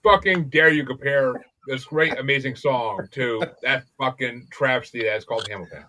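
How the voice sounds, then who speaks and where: A man hosting a casual podcast, talking in a profane, animated, rambling way. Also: mid-range voice, moderate pace, over a noisy crowd. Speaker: podcast host.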